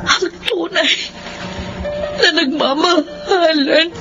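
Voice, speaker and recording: A woman playing a gentle, self-sacrificing young cousin in a radio soap, speaking with melodramatic sorrow. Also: extremely high pitch, slow pace. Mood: sad longing. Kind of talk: radio soap drama acting. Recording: dull audio under loud music.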